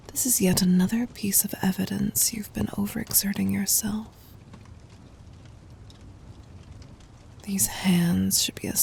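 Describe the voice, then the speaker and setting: A woman playing a gentle, dominant demon queen in an ASMR roleplay, teasing and intimate, in a breathy whisper. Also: mid-range voice, slight background noise. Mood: tender concern. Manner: slow, intimate, commanding.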